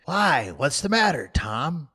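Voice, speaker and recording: A storybook boy, jittery and anxious, his voice pitched high above an adult man's and rising as he begs, as a male narrator puts him on. He pleads and fusses with lively, fretful turns. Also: takes it slowly, breathy edge, full character voice. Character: boy Sid, anxious younger brother.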